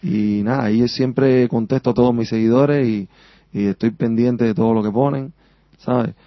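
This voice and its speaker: A man's voice: medium pitch, steady tone, normal speed, no echo, muffled recording. A man from Cuba with a Havana accent, a singer giving a chatty, rambling radio interview and often tagging on "sabes?". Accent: Havana Cuban Spanish. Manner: talkative, rambling, keeps asking "sabes?".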